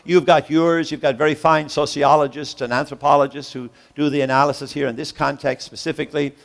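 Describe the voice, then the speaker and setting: A man giving an interactive lecture to a gathered audience, preacherly and rhythmic, with slow dramatic pauses and sudden rises, putting questions to the room. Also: on the high side, level tone, vocal fry. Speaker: guest professor and speaker.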